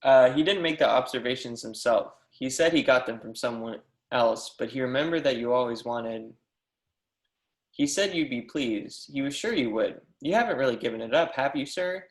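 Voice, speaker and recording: A man's voice: medium pitch, expressive, moderate pace, echoey room, lightly acted. A man reading the part of an eager, persistent young mathematics professor, earnest and declamatory, in an amateur readers theatre. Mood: eager, hopeful.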